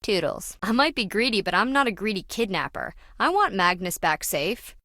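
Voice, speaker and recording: A woman's voice: medium pitch, big pitch swings, normal speed, sharp tone, no room sound, studio quality. An actress playing a greedy, sarcastic treasure-hunting businesswoman in a video game, blunt and dismissive. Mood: brash, blunt. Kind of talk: video game character dialogue.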